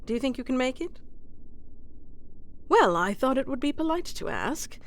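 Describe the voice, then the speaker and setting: A woman's voice: high voice, big pitch swings, normal speed. A woman playing a wry, composed, battle-worn ruler in a scripted fantasy audio roleplay, with formal, teasing, dry delivery. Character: wry, composed female ruler of a fantasy kingdom, wounded ex-fighter.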